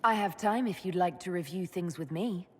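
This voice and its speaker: A woman voicing a calm elf mage leader. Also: fairly low pitch, moderate pace, slight background noise, lightly acted. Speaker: player character leader.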